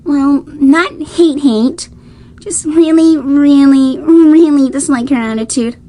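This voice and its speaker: A woman's voice: sits high, takes it slowly, warm tone. A woman playing a sweet, candid young pony in an audio drama, speaking hesitantly. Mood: sheepish, candid.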